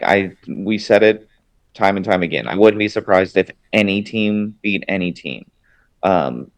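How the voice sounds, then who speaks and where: A man with a very deep voice chats on a sports podcast, recorded close and dry. He is relaxed and wry, filling his talk with ums and likes. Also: no room sound. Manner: laid-back, wry ribbing, fillers like "um" and "like". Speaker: podcast co-host. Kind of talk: casual sports podcast chat.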